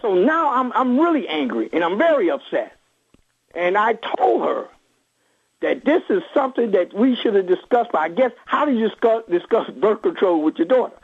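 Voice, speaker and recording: A man with a rough-edged voice and widely swinging pitch speaks as a guest on a radio call-in. He is emphatic and repeats his phrases for force. The sound is narrow and phone-like. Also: big pitch swings, moderate pace. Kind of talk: impassioned political interview talk. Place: on a phone line to a radio show.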